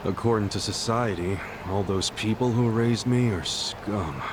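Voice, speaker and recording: A man playing a brash, low-voiced young yakuza. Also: unhurried, noisy background, lightly acted. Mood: reflective. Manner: rough, slangy, informal. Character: brash, earnest, excitable young male yakuza underling. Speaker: video game voice actor. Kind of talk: English-dubbed game cutscene dialogue and narration.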